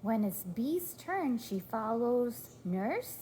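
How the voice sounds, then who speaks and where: Woman, parent reading aloud, animated swooping pitch, unhurried pace, encouraging, prompting, faint noise behind. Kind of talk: read-aloud storytime to a toddler.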